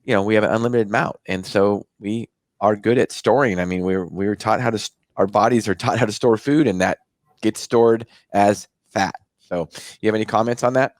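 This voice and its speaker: An American man with a fairly low voice talks as the host of a health show. His delivery is conversational and explanatory, circling back over phrases as he goes. The sound is very dry, with no room echo. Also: a little fast. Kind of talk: conversational interview talk.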